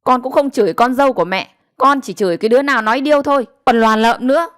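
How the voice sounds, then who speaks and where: Lady acting out a fiery sharp-tongued wife, scolding and cursing, pitched up, close dry sound, audio story. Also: quick pace, no echo, lightly acted. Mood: defiant cursing.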